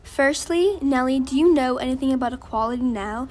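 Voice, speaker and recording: High-voiced woman playing a polite 1920s radio hostess. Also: brisk, a little mellow, slight background noise, close to an everyday voice. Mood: upbeat, polite. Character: upbeat woman host of a 1920s women's rights radio show. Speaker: radio host. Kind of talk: scripted amateur 1920s radio show.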